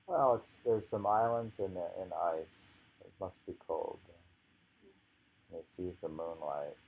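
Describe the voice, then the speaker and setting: A man reading poetry aloud informally, musing and halting as he adds his own commentary in an unhurried way, on a dull home recording. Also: low voice, a little mellow, breathy edge. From United States. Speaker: poetry reader.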